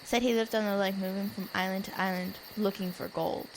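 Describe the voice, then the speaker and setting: A woman's voice: mid-range voice, fairly even intonation, brisk, slight background noise, natural voice, rough recording. A young female performer playing a bold, outspoken kid adventurer in an audio drama. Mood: curious. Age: child or teen.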